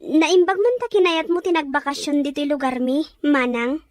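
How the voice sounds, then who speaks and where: Chatty, curious younger sister for audio drama, played by a woman. High, lively, friendly, close dry sound. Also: normal speed, no echo, close to an everyday voice. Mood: curious, welcoming. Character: chatty, curious younger sister welcoming her brother's sweetheart. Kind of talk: Ilocano audio drama acting.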